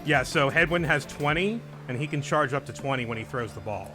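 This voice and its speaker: Brief: male let's play host, relaxed explainer, game music playing underneath. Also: medium pitch, a little fast. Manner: explains game mechanics patiently. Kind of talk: casual gameplay commentary.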